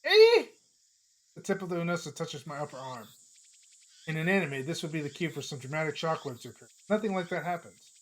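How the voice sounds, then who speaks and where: A hoarse man streaming, reading a visual novel aloud in a casual, chatty way with small slips. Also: a little high, fast, fairly bright tone, a little hiss or hum, poor audio. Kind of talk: narrating a visual novel live.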